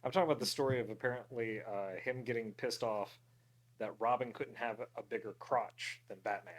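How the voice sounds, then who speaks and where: Man chatting casually, medium pitch. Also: fairly even intonation, fairly quick, poor audio. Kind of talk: casual chat.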